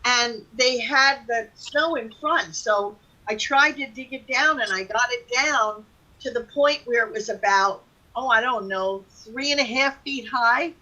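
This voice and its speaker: A middle-aged woman with a forceful, bright voice pitched a little high. She tells personal anecdotes in loose, everyday language, with exclamations thrown in as she goes. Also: a little slow, dull audio. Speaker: talk show participant. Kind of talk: casual personal storytelling.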